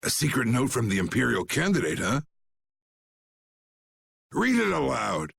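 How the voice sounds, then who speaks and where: Gruff old crime-lord type for anime game: gravelly, blunt, big swings, quick temper, music underneath. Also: male voice, medium pitch, huge pitch swings, fairly bright tone, no room sound, heavily acted. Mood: furious, booming. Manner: blunt, swaggering, drawling. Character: gruff, commanding old guild boss, quick to anger. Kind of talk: anime video game voice acting. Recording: background music behind the voice.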